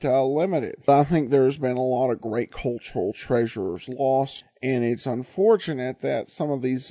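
A man's voice: medium pitch, a little mellow, no room sound, studio quality. A man hosting an old-time radio podcast, chatting casually and reading out listener mail, with frequent ums and uhs. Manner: conversational, many filler words and restarts. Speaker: podcast host.

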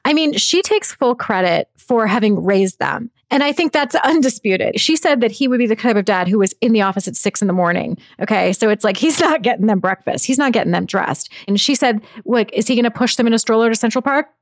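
A woman, a magazine editor, talking in an animated, chatty way as a guest in a news interview. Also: a little high, brisk, few pauses, no echo, studio quality. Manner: animated, chatty, frequent "like" and "I mean".

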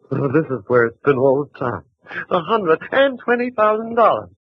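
An actor playing a greedy, unhinged rancher who gloats and laughs in 1940s radio acting style, his voice husky, high and jumpy. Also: big pitch swings, normal speed, no room sound, heavily acted. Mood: gloating. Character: greedy, unhinged male rancher turned killer. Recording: dull, dry old radio recording.

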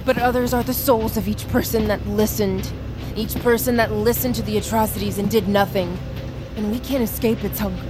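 A young woman actor playing a haunted, menacing army private in an audio drama, delivering a deliberate, intense monologue. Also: mid-range voice, steady tone, close to an everyday voice. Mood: ominous, defiant. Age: young adult. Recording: loud music bed behind.

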